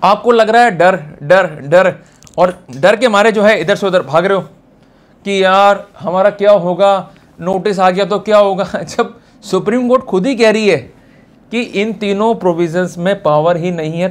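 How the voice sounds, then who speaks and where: Indian guy teaching law, pretty high voice, emphatic n colloquial, keeps asking rhetorical questions. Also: measured pace. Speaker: law lecturer and advocate. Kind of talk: informal law lecture.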